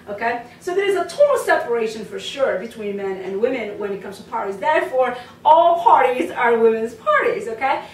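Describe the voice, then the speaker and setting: Moroccan-raised woman, dance teacher, chatty and rambling; slightly high voice, mic set back in an echoey room. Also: slight background noise. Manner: chatty, many fillers and asides. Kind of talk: casual talk to camera. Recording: roomy sound from a distant mic.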